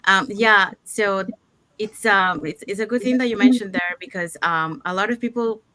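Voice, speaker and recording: Bright-voiced woman hosting an online talk. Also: mid-range voice, moderate pace. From Panama. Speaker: talk show host. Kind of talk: unscripted online interview hosting.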